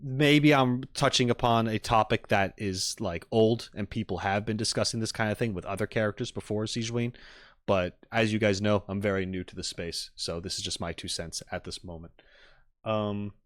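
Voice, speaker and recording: A man's voice: on the low side, fairly even intonation, normal speed, dry recording, clean studio sound. A man streaming casually to viewers, rambling and thinking aloud as he gives tentative opinions. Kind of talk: casual live-stream chat, reacting and giving opinions.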